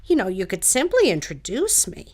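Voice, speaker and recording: A woman's voice: mid-range voice, wildly swooping pitch, normal speed. A woman playing a chatty, genteel older neighbor lady in an audio drama, with gracious, old-fashioned manners.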